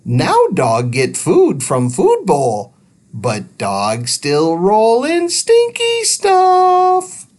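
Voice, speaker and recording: A man's voice: high voice, wildly swooping pitch, very slow, a little mellow, pitched above the performer's own voice, heavily acted. A man voicing a small, earnest robot in a children's storybook, speaking in simple broken grammar with beeping interjections. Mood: matter-of-fact.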